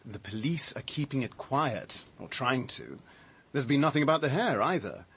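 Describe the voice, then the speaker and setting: An actor playing a shaken, defensive man with a halting, reflective, dry delivery in a radio drama. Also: medium pitch, animated, a bit bright, breathy edge, exaggerated voice. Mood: cool, grim. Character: shaken man recounting his discovery, defensive, sardonic.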